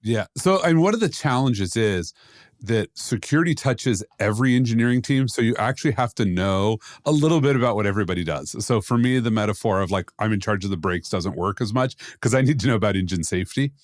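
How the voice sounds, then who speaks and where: A man's voice: on the low side, lively intonation, brisk, no room sound. Blunt male podcast co-host, very dry sound. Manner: blunt, emphatic. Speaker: podcast co-host, security expert. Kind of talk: casual opinionated discussion.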